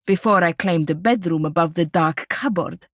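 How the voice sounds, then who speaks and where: An actress playing a haughty, sharp-tongued female wizard in a video game, with dry, impatient, formal delivery. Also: fairly low pitch, moderate pace, dry recording, natural voice. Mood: dry, aloof. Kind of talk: video game character dialogue and battle barks.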